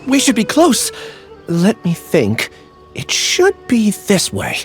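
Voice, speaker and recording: A man's voice: high voice, wildly swooping pitch, slow, faint music behind, exaggerated voice. A hesitant young man lost in amnesia. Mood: uneasy. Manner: hesitant and uneasy. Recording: game soundtrack behind.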